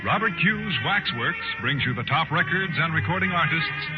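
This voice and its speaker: A man announcing scripted credits and promos in 1950s radio style with a slightly husky voice. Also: a little high, animated, normal speed. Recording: muffled 1950s radio broadcast over music.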